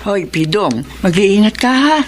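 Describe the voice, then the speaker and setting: A woman's voice: mid-range voice, sing-song, measured pace, slight background noise. A woman playing a caring, fussing older provincial wife and mother in a radio drama. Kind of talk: Tagalog radio drama acting.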